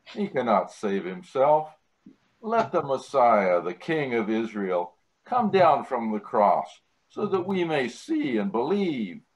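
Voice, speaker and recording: Mocking chief priest read by a man, Bible drama. Declamatory, unhurried, lively in pitch, on a video call. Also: dull audio. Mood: scornful.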